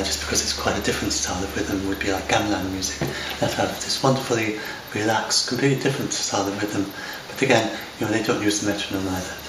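Older English man, low-ish voice, halting chat to camera, distant, noisy home recording. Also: reverberant. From lives in Scotland. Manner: rambling, hesitant, many fillers and restarts. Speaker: software developer talking to camera. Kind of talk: unscripted talk to camera. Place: home room by large windows. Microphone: far camera.

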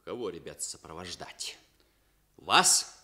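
An actor playing a protective, plain-spoken young husband in a Soviet radio play. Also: male voice, low voice, big pitch swings, takes it slowly, pushed and bright, exaggerated voice. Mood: firm, challenging. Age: young adult. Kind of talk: Soviet radio theatre acting.

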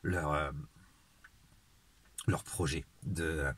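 A man, speaking an earnest, improvised monologue to camera in a halting way with searching pauses. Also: very deep voice, fairly even intonation, slightly rough. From Marseille, southern France. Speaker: vlogger. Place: outdoors in a town street at night, later inside a car. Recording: noisy phone selfie audio, ambient noise.

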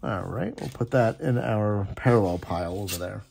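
A man with a gravelly, husky voice giving casual, chatty, appreciative running commentary as he hosts a card-opening video at a table indoors. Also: fairly low pitch, normal speed, very noisy. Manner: chatty, appreciative, reading names aloud. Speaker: host opening sports card packs.

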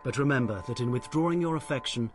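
A man's voice: mid-range voice, fast, faint music behind, close to an everyday voice. Male actor as proud, impoverished English writer for period radio drama; mid-range voice, formal, bitterly dignified. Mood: cold, formal. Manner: formal, stiff, wounded dignity.

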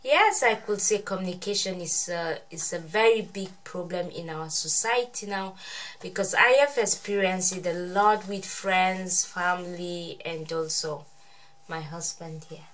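Slow-talking woman hosting a family vlog. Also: on the low side, a bit bright, low-quality recording. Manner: unhurried, repeats words, addresses viewers. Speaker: vlogger host. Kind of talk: casual family vlog chat. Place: small bedroom, indoors.

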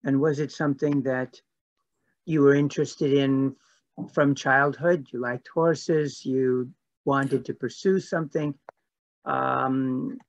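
A man interviewer asks questions very slowly, piecing them together as he goes. His voice comes through a dull, lo-fi video call line. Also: mid-range voice, steady tone. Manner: slow, searching, unhurried questions. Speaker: host interviewer.

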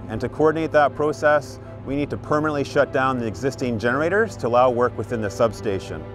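A middle-aged man, delivering scripted narration as a utility spokesman giving a project update. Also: mid-range voice. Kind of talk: scripted narration and on-site presenting. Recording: music behind the voice.

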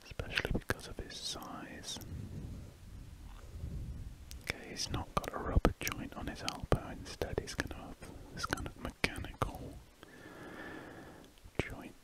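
Breathy whispering British man. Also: poor audio. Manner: unhurried, musing aloud in fragments. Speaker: ASMR artist. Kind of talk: whispered ASMR rambling while drawing. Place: indoors at a desk.

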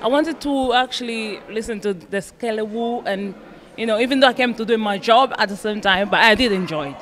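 A woman's voice: on the high side, a little fast, fairly bright tone. A woman from a TV crew being interviewed casually by a colleague on a handheld microphone.

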